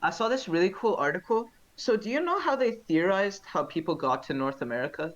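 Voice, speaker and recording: Lively teenage boy on a dull, lo-fi mic. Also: high-pitched, a little fast. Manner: asks questions, lively and thoughtful, searches for words. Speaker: podcast co-host. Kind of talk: casual chat.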